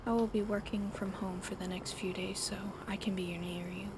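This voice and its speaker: A woman speaking in a soft, coddling ASMR whisper, gentle and soothing. Her voice has a gravelly, fried texture at a middle pitch, and a steady fan hum sits clearly behind her. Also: normal speed. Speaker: ASMR roleplay narrator. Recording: audible fan hum in background.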